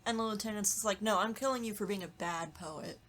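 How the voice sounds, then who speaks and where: A woman's voice: mid-range voice, fairly quick, a bit bright. A woman co-hosting a podcast in casual chat, playfully riffing and acting out mock dialogue. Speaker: podcast co-host. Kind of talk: casual chat with joking riffs.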